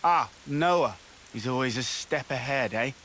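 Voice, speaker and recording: A man's voice: mid-range voice, unhurried, a bit bright, slight background noise, natural voice. A man playing a hot-headed, grieving young male soldier in a video game cutscene. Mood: sullen.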